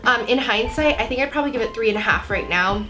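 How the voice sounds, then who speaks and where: A woman's voice: a little high, lively intonation, quick pace, over music, rough recording. A twenty-year-old American woman from Florida with a cold, chatting casually and informally to camera as a book vlogger. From Florida, United States. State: has a cold.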